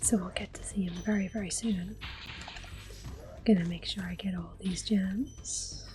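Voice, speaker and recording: A woman whispering soft-spoken commentary while she plays a video game for an ASMR audience, close to the microphone. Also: mid-range voice, fairly even intonation, measured pace, over music. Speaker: ASMR gaming host.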